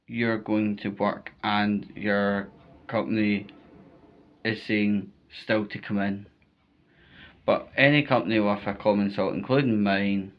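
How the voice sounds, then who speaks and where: Casting: Scottish male vlogger, lowish flat-toned voice, unhurried, emphatic informal advice, muffled lo-fi sound. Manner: emphatic, repetitive, checks in with "right?". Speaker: vlogger giving storm safety advice. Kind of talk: informal spoken advice to viewers.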